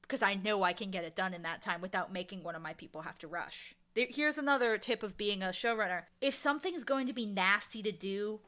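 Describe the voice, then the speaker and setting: A woman with a very bright, forward voice talks in a casual interview. She is animated and emphatic, with an informal, joking delivery and a mid-range pitch. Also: fairly quick, hardly any pauses. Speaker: audio drama showrunner and writer, interview guest.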